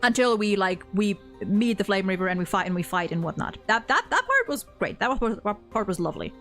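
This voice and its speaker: A woman's voice: medium pitch, sing-song, fairly quick, a bit bright, soft background music. A woman streamer chatting casually and informally, rambling through her opinions and swearing freely. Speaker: streamer hosting a game story ranking discussion. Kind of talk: casual chat.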